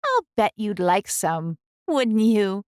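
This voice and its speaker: A woman voicing a kind, sweet old woman in a children's storybook, bright and gentle in manner. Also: mid-range voice, very animated, clean tone, no echo, clean studio sound. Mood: gently coaxing. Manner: bright, gentle, drawn-out delivery.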